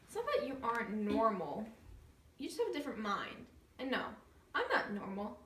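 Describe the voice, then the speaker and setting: A woman's voice: a little high, big pitch swings, normal speed, a bit bright. A woman playing a vain, scheming evil queen, sweetly menacing and coldly dismissive, in an amateur fairy tale comedy skit. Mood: dismissive, impatient. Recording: echoey room, distant mic, faint noise.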